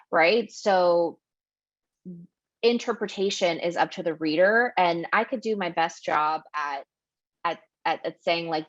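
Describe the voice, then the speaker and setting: A woman's voice: on the low side, dry recording. A woman from Ecuador, raised in New York, answering questions as the guest author at a virtual book event, thoughtful and digressive with many fillers. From Ecuador, raised in Queens, New York. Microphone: video call. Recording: dry, muffled video-call audio.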